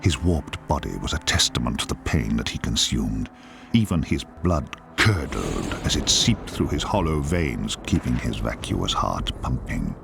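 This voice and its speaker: Casting: ominous storyteller in a dark fantasy game, solemn and theatrical, rock-bottom register, dramatically swooping intonation. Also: male voice, very deep voice, big pitch swings, unhurried, creaky, noisy background, full character voice. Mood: dark, grim. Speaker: narrator. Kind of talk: dramatic scripted fantasy narration.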